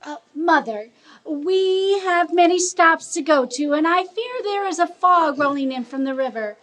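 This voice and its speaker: A woman's voice: very high-pitched, expressive, a little slow, fairly bright tone, exaggerated voice. A woman in a radio play voicing a meek, pious nun who speaks politely and formally. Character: meek, earnest, pious charity-collecting nun. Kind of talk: stage radio drama acting.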